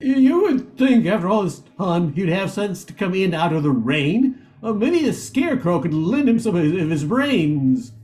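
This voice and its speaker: A high-voiced, fretful lion police chief, acted by a man. He stammers his way through earnest radio-play lines with lively, swooping pitch. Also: moderate pace, hardly any pauses. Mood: exasperated. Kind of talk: amateur radio play acting.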